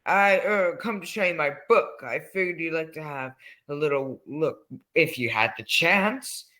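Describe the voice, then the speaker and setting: A man's voice: a little high, expressive, measured pace, pushed and bright. A man acting in an old-time radio drama as a folksy, courteous, rambling old coffin salesman with a sinister politeness. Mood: sly, apologetic. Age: older.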